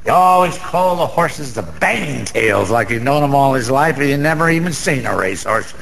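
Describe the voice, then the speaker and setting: An actor playing a boozy, sentimental, boastful small-time gambler in a radio drama, rambling in old-fashioned street slang with a gravelly voice. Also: male voice, medium pitch, animated, moderate pace, a bit bright. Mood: boastful, fond. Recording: faint background noise.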